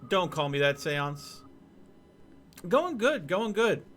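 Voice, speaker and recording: A man streaming a game, chatting casually with viewers in an animated, joking way. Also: high voice, slow, soft background music. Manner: animated, joking, talks to chat. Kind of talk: casual gameplay commentary.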